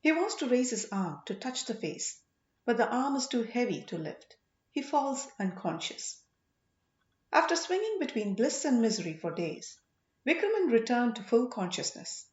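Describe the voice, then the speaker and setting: A woman narrating an audiobook story dramatically, in measured short phrases with emphasis. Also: fairly high pitch, sing-song. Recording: echoey room, slightly muffled sound.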